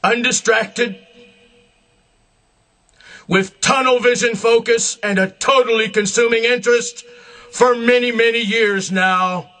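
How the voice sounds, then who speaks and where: Very high-pitched man, slow solemn proclamation. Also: steady tone, sharp tone. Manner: grandiose, emphatic proclamation in deliberate short phrases. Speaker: self-proclaimed religious leader. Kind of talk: solemn scripted public religious address.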